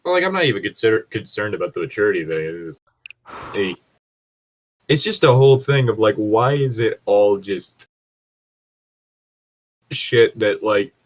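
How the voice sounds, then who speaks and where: Deep-voiced, rambling, sweary man. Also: animated, normal speed, long pauses. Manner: sweary, rambling, self-correcting. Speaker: podcast co-host reading and discussing creepypastas. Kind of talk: casual commentary chat.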